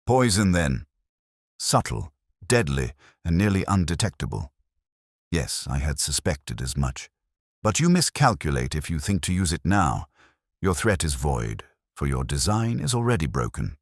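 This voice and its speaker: A man voicing a grave, confident gentleman detective in a storybook, with measured, formal, authoritative speech. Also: rumbling low, sing-song, no echo, clean studio sound, heavily acted. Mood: grave, certain. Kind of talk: audiobook character dialogue.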